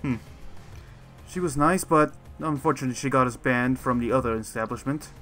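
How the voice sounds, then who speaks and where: A man's voice: medium pitch, a little fast, a bit bright, lightly acted. A man playing a confident, wry werewolf teacher in an improvised Minecraft roleplay. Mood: wry, reminiscing. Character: male werewolf mentor, confident, wry, running training games. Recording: music behind the voice.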